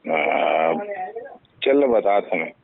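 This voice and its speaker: A man's voice: very deep voice, animated, very slow, vocal fry, a little hiss or hum. A man with a Chhattisgarhi accent in a private recorded phone conversation, speaking in terse, informal phrases. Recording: tinny, dry recorded phone call.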